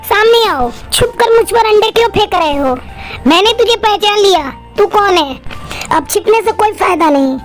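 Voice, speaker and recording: A woman's voice: extremely high pitch, expressive, normal speed, soft background music. A woman playing an arrogant, strict female tuition teacher who scolds pupils in a cartoon story. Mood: angry. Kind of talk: Hindi cartoon story dialogue.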